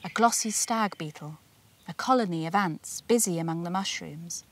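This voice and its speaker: A woman reading her own children's storybook aloud in an expressive, deliberate storyteller's manner with dramatic emphasis. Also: fairly low pitch, slight background noise.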